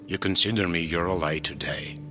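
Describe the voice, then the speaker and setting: Grave, deep-voiced older Greek ruler. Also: male voice, brisk, over music. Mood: guarded, grateful. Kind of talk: video game cutscene acting.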